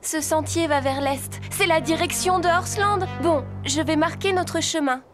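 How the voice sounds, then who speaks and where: A woman voicing a gentle, worried young girl horse rider in a French cartoon dub, talking soothingly. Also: extremely high pitch, moderate pace. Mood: reassuring. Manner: soothing, talks reassuringly to a horse. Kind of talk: French animated series dub. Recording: background music under voice.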